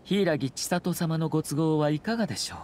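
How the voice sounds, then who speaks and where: A man voicing a composed young nobleman in an anime game, speaking in a measured, formal, polite way over faint background ambience. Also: fairly high pitch, darkish tone, slight background noise, close to an everyday voice. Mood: courteous. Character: composed, courteous young nobleman and clan commissioner.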